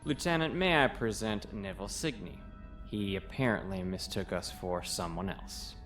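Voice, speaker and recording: A young military aide acted by a man speaks in a fairly low voice, polite and tactful. It is a sci-fi comic dub with music clearly behind the deferential, dutiful officer. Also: normal speed, natural voice. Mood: dutiful, steady. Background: prominent music.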